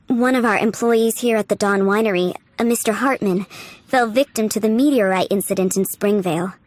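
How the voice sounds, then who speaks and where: A woman voicing a courteous, composed head housemaid in a video game, with polite and measured formal speech. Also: fairly high pitch, a little hiss or hum, natural voice. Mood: gracious. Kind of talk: video game character acting.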